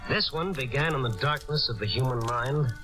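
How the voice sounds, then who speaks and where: A man's voice: mid-range voice, moderate pace, natural voice. An actor playing a world-weary, compassionate newspaper reporter, telling his story moodily in old radio drama narration. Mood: brooding. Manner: moody, reflective storytelling. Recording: old radio recording under loud music.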